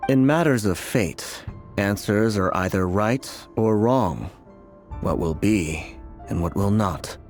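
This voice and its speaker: An actor playing a cryptic, fatalistic male seer in a fantasy video game, with a measured, formal and unsettling manner. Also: lowish voice, expressive, a little mellow, faint music behind. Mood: cold, fatalistic. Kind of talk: dramatic video game acting.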